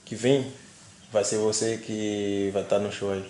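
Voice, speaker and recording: A man with a flat, level delivery explaining the show's plans to a guest. The sound is echoey and roomy. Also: fairly low pitch, unhurried.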